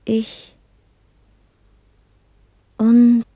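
An actress playing a traumatized, childlike young woman in a trance, speaking breathily in halting fragments in a radio drama. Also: a little high, completely flat delivery, no echo, highs cut off. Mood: dazed, frightened. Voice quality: breathy, half-whispered. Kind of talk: 1970s German radio drama acting.

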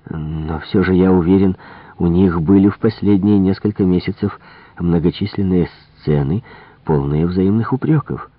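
A man voicing a shrewd, courteous middle-aged Belgian detective, speaking in a deliberate, gently probing way. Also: sits low, no echo. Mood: sympathetic probing. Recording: muffled, phone-like narrow-band audio.

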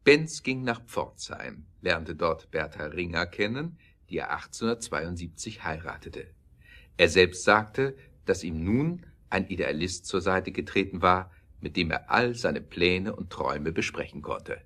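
A male narrator with a low, deep voice tells a scripted story. His phrasing is measured and literary, with clear emphasis on key words. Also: animated, fairly bright tone.

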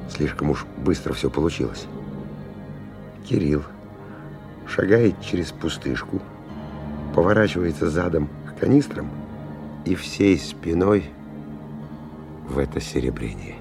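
An actor playing a weary, rough stalker who recounts a dangerous expedition in halting, hushed, intense phrases in a radio play. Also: male voice, a bass voice, big pitch swings, heavily acted. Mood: tense, shaken. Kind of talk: dramatic first-person radio play narration. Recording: 1990 radio play with music underneath.

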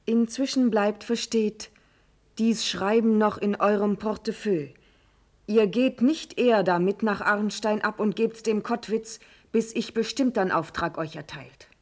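A woman's voice: mid-range voice, a little slow, close to an everyday voice. A woman playing a young noblewoman in a classical verse radio drama, resolute, urgent and tender, with formal declamatory diction. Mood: resolute, scheming.

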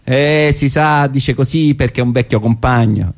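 A man acting a wry, wary old leftist militant in a deliberate, ironic stage monologue. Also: medium pitch, natural voice. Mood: wry, self-mocking. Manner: deliberate, ironic, with weighted pauses. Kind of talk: theatrical political monologue.

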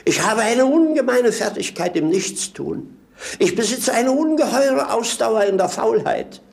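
An actor playing a tipsy, lazy rogue with clownish mock pomposity, in 1950s German radio drama style. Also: male voice, high-pitched, creaky, a little mellow. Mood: boastful. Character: tipsy, lazy male rogue, clownish self-satisfied idler. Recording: 1950s radio play recording.

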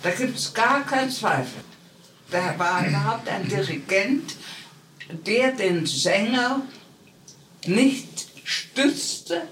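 Old lady in her 90s reminiscing slowly, far from the mic in a roomy space. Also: medium pitch, a bit bright. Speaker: retired opera singer. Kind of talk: anecdotal reminiscing in an interview. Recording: roomy, distant, noisy archive interview tape.

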